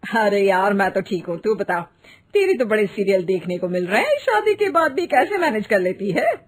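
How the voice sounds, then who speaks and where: A woman's voice: mid-range voice, sing-song, normal speed, husky, pitched below the performer's own voice. A woman voicing a weary young celebrity mother in a comic cartoon story, chummy and complaining in a resigned way.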